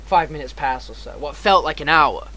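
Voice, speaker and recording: A man with a fairly high-pitched, forceful, bright voice tells a casual anecdote. He speaks like a streamer recounting a tale to viewers, swearing freely and leaning hard on slang and emphasis. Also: moderate pace.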